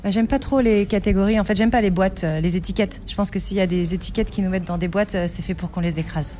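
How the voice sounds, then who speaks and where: A woman's voice: mid-range voice, dark tone, slight background noise. Woman interpreting on the fly. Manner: quick, conversational, with fillers. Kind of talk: live interpretation.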